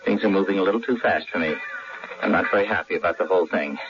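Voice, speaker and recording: A man's voice: low voice, fast, noisy background, lightly acted. An actor playing a flustered, defiant married man who stammers, in old-time radio drama style. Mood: troubled, gloomy. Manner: stammering, excitable. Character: flustered, defiant married everyman troubled by miraculous powers. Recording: dull lo-fi radio drama recording, music.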